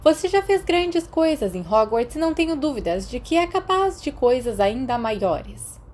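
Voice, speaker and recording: A woman's voice: high voice, sing-song, normal speed, darkish tone, a little hiss or hum, pitched above the performer's own voice. A Brazilian woman voicing a stern, weary older female schoolteacher in an audiobook, formal and pointed. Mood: stern, encouraging. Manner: formal, exasperated, pointed questioning.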